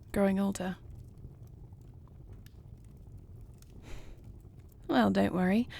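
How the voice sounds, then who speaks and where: A poised dragon queen voiced by a woman speaks softly and intimately to her listener in a fantasy audio roleplay. Her voice is warm and dark, with a breathy husk and touches of creak. She phrases things formally, with tenderness and dry wit. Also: medium pitch. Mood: sympathetic. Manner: formal, courtly phrasing, soft and affectionate. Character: gentle, poised dragon queen, newlywed, tender and dryly witty.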